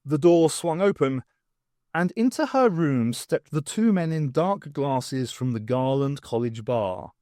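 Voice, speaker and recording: A man's voice: on the high side, lively intonation, unhurried, warm tone, dry recording, clean studio sound. A man reading scripted dramatic narration of a science fiction story as an audiobook narrator. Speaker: audiobook reader.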